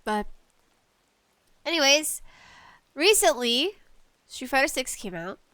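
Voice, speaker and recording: A woman chatting casually as a solo podcast host, nervous, halting and apologetic, with allergies bothering her. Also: sits high, sing-song, sharp tone, dry recording. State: allergies acting up. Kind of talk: casual solo podcast chat about games and tea.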